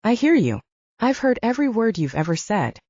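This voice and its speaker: A woman's voice: fairly high pitch, big pitch swings, fairly quick, mellow, dry recording, lo-fi sound, pitched above the performer's own voice. A woman voicing a cool, sardonic, protective male werewolf with a dry, understated manner in an audiobook. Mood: cold anger. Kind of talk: audiobook character acting.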